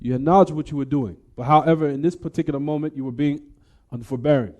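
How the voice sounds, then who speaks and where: A man's voice: on the high side, steady tone, fast, mellow. A man giving a religious lecture, explaining a text in a didactic way and posing rhetorical questions to his listeners.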